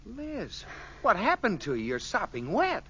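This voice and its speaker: An actor playing a flustered, eager-to-please husband in a 1940s radio comedy, stammering and nervous. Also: male voice, high-pitched, wildly swooping pitch, unhurried, exaggerated voice. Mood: urgent. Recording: muffled, hissy old radio recording.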